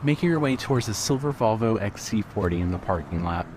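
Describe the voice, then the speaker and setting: A man narrating scripted fiction as a storyteller, in a measured manner. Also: lowish voice, expressive, noisy background.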